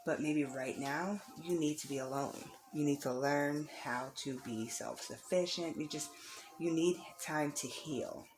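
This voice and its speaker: A woman's voice: low-pitched, measured pace, a little mellow, a little hiss or hum. A woman reading tarot cards casually for the viewer, encouraging and reassuring, repeating phrases for emphasis. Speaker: tarot reader. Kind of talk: casual spiritual card reading, addressed to the viewer.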